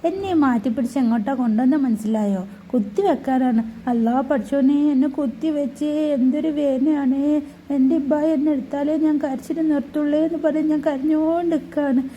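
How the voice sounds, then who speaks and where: A woman's voice: sits high, fairly quick, mellow, highs cut off, slight background noise, natural voice. A woman voicing a chatty, cheeky newborn baby in a family video voice-over, talking in a sing-song, cooing way with teasing asides. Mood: complaining.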